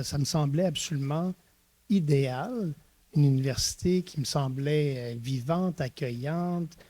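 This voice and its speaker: A man's voice: fairly high pitch, dark tone, husky. A middle-aged man from Eastern Ontario, a university rector telling his story in an interview, with many restarts and hesitations. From Eastern Ontario, Canada. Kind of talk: reflective conversational interview.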